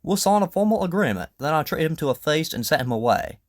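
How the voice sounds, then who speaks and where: A man's voice: on the high side, very animated, fast talker, no echo, studio quality, voice pushed up. A man acting a proud, earnest king in an audio drama, speaking formally and emphatically with indignation and pleading. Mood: frank, earnest.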